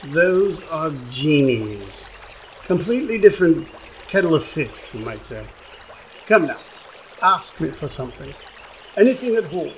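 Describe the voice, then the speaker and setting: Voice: medium pitch, very animated, unhurried, pauses often, a little hiss or hum. An actor in a radio play voicing a pompous, wordy magic fish who speaks in florid, old-fashioned phrases. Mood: pompous, brisk. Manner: pompous, florid archaic diction.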